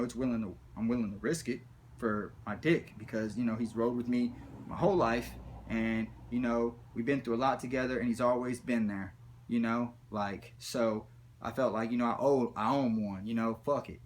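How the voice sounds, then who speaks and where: A man spins a comic story in casual, profanity-laced slang. He rambles and acts out moments as he goes. There is faint noise and room ambience behind his mid-pitched voice. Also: normal speed. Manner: rambling, slangy, animated retelling.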